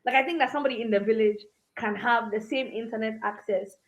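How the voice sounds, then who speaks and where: A woman from Ghana with an American accent and a slightly high voice. She chats casually on a podcast in an animated way, laughing easily and scattering "like" through her sentences. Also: fast talker, rough recording. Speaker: podcast cohost and music maker.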